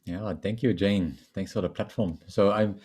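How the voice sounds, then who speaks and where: Very mellow German-accented man. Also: lowish voice, steady tone, normal speed, slightly rough. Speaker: leadership coach and trainer, webinar guest. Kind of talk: conversational self-introduction in a webinar.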